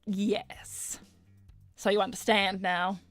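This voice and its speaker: A woman voicing a smug, seductive hedgehog celebrity businesswoman in an animated roleplay stream, suave, flirtatious and coolly condescending. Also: mid-range voice, slow, no room sound, soft background music, lightly acted. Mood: coaxing. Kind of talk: improvised in-character livestream roleplay.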